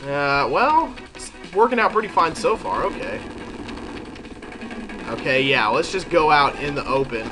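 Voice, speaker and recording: A man's voice: a little high, lively intonation, measured pace, long pauses. A man with a head cold, sounding congested, chatting and thinking aloud as he commentates a video game. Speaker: let's play commentator. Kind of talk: casual gameplay commentary. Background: game music.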